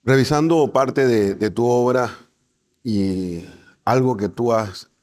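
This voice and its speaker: A man hosting a conversational interview, thinking aloud in short, halting phrases. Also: medium pitch, darkish tone. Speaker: interviewer, host.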